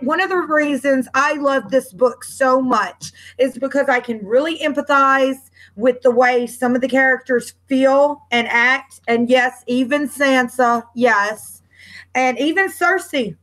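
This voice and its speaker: Woman, high voice, book club host, chatty, rambling, laughs easily, home computer mic on a video call. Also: fairly bright tone. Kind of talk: casual online panel chat.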